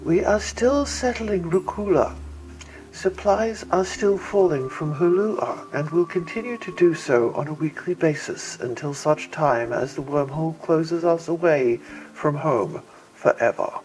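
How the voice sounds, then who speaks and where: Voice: medium pitch, lively intonation, darkish tone, close to an everyday voice, low-quality recording. A narrator voicing a pompous male bird-like alien mayor full of bureaucratic contempt, in an audiobook story. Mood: disdainful. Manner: pompous, clipped contempt, drawn-out emphasis. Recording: music bed behind.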